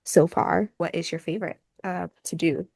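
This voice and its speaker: A woman's voice: lowish voice, fairly even intonation, moderate pace, gravelly, darkish tone, breathy edge, no room sound, studio quality. A woman hosting a casual podcast chat, talking in a wordy, meandering way peppered with filler phrases. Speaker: podcast host and fandub team member.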